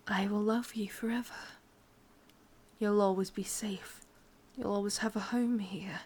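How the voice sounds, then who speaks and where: A woman with an English accent voicing a possessive, sadistic yandere witch in breathy, close-up whispered ASMR roleplay. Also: medium pitch, a little mellow. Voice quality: breathy, husky. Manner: breathy whispering, slow intimate delivery. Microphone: close microphone.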